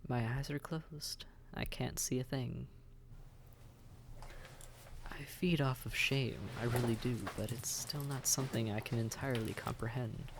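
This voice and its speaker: A suave demon prince of Hell, played by a man, speaks with a gravelly, fry-laden voice of middling pitch. He is unhurried and coaxing, teasing yet gentle, often sinking into breathy near-whispers. It is an intimate audio roleplay with faint ambience behind the voice. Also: fairly even intonation, noisy background. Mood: wry, gentle.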